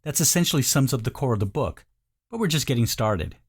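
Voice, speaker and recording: A man's voice: mid-range voice, animated, brisk, slightly rough. A man reading a scripted sales video voice-over in an informal, conversational way, speaking directly to the listener. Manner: informal first-person direct address to the listener.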